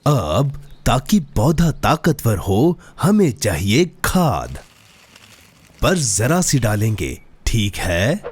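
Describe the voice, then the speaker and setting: A man voicing a cautious, gentle, sensible friend in a children's cartoon, with patient, careful delivery. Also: mid-range voice, sing-song, extremely slow, husky, heavily acted. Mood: instructive. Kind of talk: Hindi children's cartoon dubbing.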